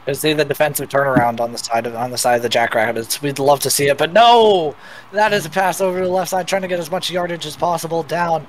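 Hoarse guest commentator, faint background hum. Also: male voice, a little high, fast. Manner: excitable, bursts of exclamation. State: slightly raspy, losing his voice. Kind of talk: sports commentary.

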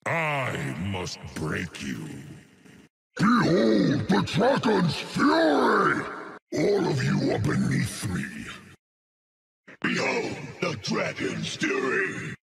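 An actor voicing a menacing, commanding monstrous warlord with a gravelly voice in a fantasy shooter game. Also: male voice, a little high, fairly bright tone, heavily acted. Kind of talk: shouted hero-shooter game voice lines. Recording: long reverb on the voice.

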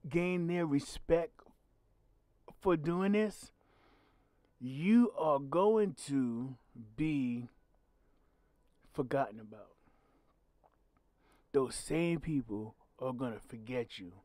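A man's voice: mid-range voice, lively intonation, drawn-out words, long pauses, dark tone, no room sound. Growly-voiced man ranting bluntly. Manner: blunt, emphatic, preachy with swearing. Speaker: channel host. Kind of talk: solo commentary podcast.

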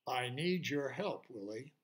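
A man playing a patient, gentle unicorn. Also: mid-range voice, animated, unhurried, natural voice. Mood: coaxing. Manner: patient and coaxing. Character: calm, gentle male unicorn guide.

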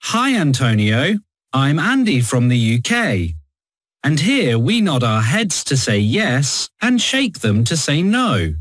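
A man's voice: medium pitch, very animated, slow pace, fairly bright tone, no echo, studio quality, full character voice. A man voicing a young British commenter, reading in a friendly, explanatory way. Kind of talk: scripted textbook audio.

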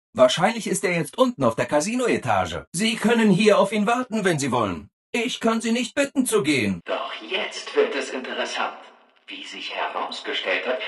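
An actor playing a relentlessly cheerful, servile robot assistant in a video game, gushing and eager to please. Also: male voice, on the high side, fairly bright tone, slightly rough, full character voice. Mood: chirpy, obliging, then gleeful, showing off. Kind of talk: video game character acting in a German dub.

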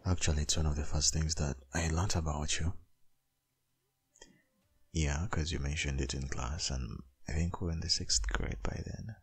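A man playing a tender, possessive dominant boyfriend in an intimate ASMR roleplay, murmuring in a gravelly, breathy, half-whispered voice. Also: rumbling low, steady tone, full character voice. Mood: fond, nostalgic. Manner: slow, murmuring, lingering.

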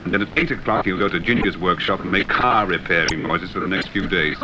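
An actor playing a suave, confident intelligence agent with brisk assurance, in 1960s radio thriller style. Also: male voice, sits low, lightly acted. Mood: confident, explaining. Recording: muffled, noisy damaged 1960s flexidisc.

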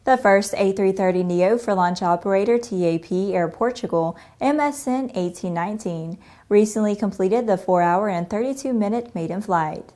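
A young woman anchoring a news show in a studio, reading a script in a steady, even newsreader delivery. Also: mid-range voice, normal speed, hardly any pauses. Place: studio in front of a green screen.

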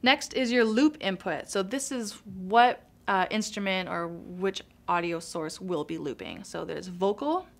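A woman's voice: medium pitch, measured pace, creaky, few pauses. A young woman casually walking through a device's menu settings in a small studio, chatty and upbeat, gesturing as she explains. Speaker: product demo presenter. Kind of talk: casual product walkthrough. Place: small studio room with acoustic foam.

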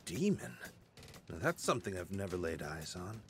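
An actor playing an excitable, flirtatious junker info dealer in a video game, with a slangy, hesitant manner that slips from sly to flustered. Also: male voice, low-pitched, normal speed, soft background music. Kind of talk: video game cutscene acting.

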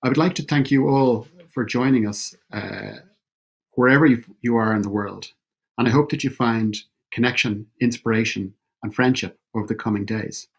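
A man, opening an online event over a video call, measured and halting with fillers. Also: mid-range voice, gravelly, breathy edge. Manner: measured, with many fillers and restarts. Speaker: event host. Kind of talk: formal opening speech. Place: indoor room at home. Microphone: video call webcam.

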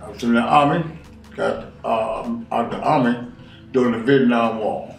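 A man's voice: medium pitch, fairly even intonation, normal speed, gravelly, recorded from a distance. A man in his late sixties being interviewed for a documentary, speaking plainly and repeating his points. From Washington, D.C. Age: 60s. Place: living room on a leather couch. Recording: roomy sound from off-mic.

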